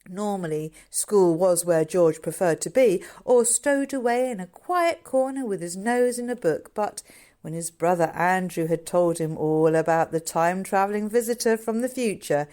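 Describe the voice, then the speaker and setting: Low-pitched woman narrating a novel. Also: dark tone. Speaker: author reading own novel. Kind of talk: scripted audiobook narration.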